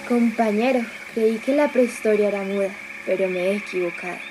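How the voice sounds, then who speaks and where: A woman's voice: mid-range voice, a little fast, close to an everyday voice. A woman playing an awed explorer in a student radio drama, narrating discoveries with wondering questions and exclamations. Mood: curious, puzzled. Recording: noisy amateur recording.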